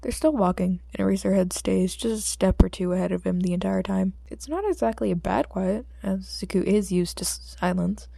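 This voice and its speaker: Casting: female audiobook narrator for fiction, mellow tone with vocal fry, dry close sound. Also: mid-range voice, fairly quick, few pauses, dry recording.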